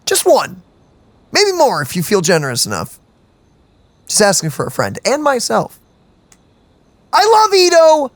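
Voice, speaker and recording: Brief: excited woman co-host, lively animated voice, dry close mic, game music behind. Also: fairly high pitch, a little slow, long pauses, no echo. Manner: excited, gushing, laughing. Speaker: let's-play co-host. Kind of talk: casual gaming commentary.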